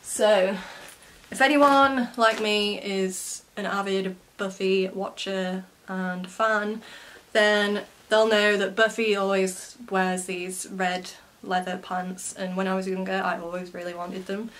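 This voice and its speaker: A woman in her twenties sounding stuffed up and croaky from a cold, chatting casually through a vintage clothing haul with lots of ums and likes. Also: mid-range voice, little pitch movement, takes it slowly, a bit bright, a little hiss or hum, low-quality recording. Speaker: vintage fashion vlogger. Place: bedroom lined with clothing racks, indoors.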